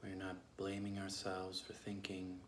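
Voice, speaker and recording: Low male voice, meditation guide, gentle pauses, echoey room, faint noise. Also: monotone. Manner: gentle, unhurried phrasing with long pauses. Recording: roomy echo, clear background noise.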